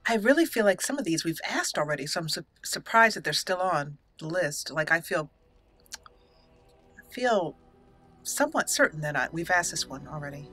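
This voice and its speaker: A woman's voice: lowish voice, lively intonation, normal speed, a bit bright. A woman giving casual let's play commentary, chatting and thinking aloud as she plays. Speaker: gaming channel host and player. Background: game music.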